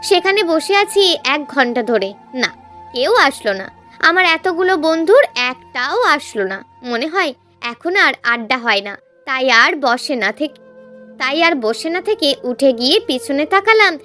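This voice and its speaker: A woman's voice: high voice, big pitch swings, unhurried, bright, forceful tone, soft background music. A woman reading a scripted, emotional first-person story as a narrator.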